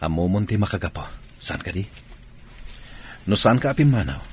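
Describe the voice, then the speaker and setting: A man playing a soldier who is a gentle father and evasive husband in a radio drama. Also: sits low, sing-song, moderate pace, a little hiss or hum. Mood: defensive.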